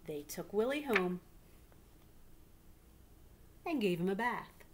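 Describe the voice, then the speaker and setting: A middle-aged woman reads aloud expressively like a teacher, pausing to prompt young listeners. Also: mid-range voice, animated. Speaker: teacher reading a picture book aloud to pupils. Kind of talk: read-aloud storytelling with questions to children. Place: small living room indoors. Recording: clearly noisy home webcam recording.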